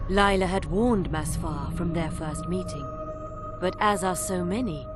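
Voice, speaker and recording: A woman acting as a solemn storyteller, narrating fantasy legends for a video game in measured phrases. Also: lowish voice, lightly acted.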